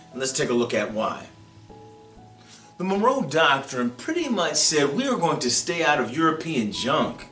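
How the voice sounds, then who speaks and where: Male American teacher-host, slightly high voice, informal and emphatic with rhetorical turns, light music underneath. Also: a bit bright. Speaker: history teacher and video host. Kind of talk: informal lesson commentary on a historical text. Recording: roomy echo with music behind. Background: background music.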